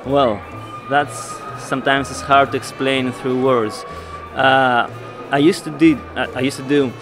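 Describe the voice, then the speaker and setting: The Greek-accented artist at a fair booth, feeling his way haltingly through a reporter's questions, medium-pitched, guitar music playing. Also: fairly even intonation. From Greece. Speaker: artist, interview guest. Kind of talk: on-camera interview. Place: indoor art fair booth. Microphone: handheld interview mic held out by interviewer.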